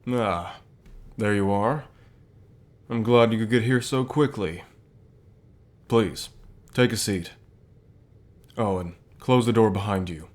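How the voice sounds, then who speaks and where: A man playing a calm, reassuring company boss with gentle authority, speaking softly in measured phrases for an ASMR roleplay. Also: on the low side. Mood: calm, welcoming. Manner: measured, soft-spoken, deliberate pauses. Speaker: voice actor in an audio roleplay.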